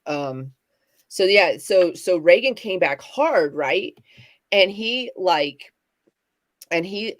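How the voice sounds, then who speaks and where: A woman co-hosting a casual livestream talk, speaking in halting bursts with many fillers and restarts. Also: on the low side, dry recording. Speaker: podcast co-host.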